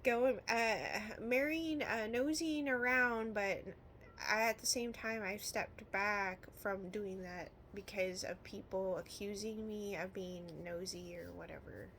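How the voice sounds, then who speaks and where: A woman with a Midwestern American accent rambles casually as if vlogging, often drifting into asides. Her mid-pitched voice has a lot of gravelly fry. There is faint noise in the recording. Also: fairly even intonation, takes it slowly, a bit bright, poor audio. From Illinois, United States. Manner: rambling, with frequent trailing asides. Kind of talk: casual rambling vlog talk.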